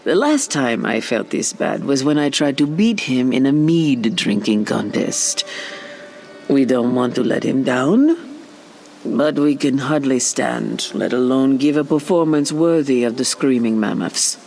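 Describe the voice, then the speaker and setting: An actor playing a sick, exhausted, hungover musician in a fantasy game. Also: male voice, medium pitch, animated, measured pace, gravelly, a little mellow, slight background noise. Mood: groaning, regretful. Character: queasy, hungover male musician, sorry but scheming. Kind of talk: scripted fantasy game dialogue.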